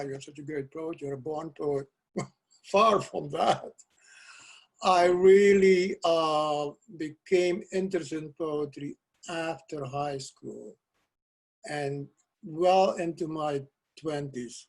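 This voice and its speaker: An older man, a Dutch native speaker from South America, talking as a guest poet over a video call from a cluttered home office, soft-spoken and hesitant with restarts. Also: on the high side, gravelly. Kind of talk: casual video call interview. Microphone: video call webcam.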